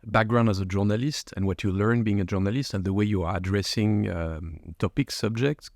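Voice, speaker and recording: Low-voiced male podcast host, probing questions. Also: brisk, darkish tone, dry recording, studio quality. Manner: probing, rephrases questions at length. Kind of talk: interview questions.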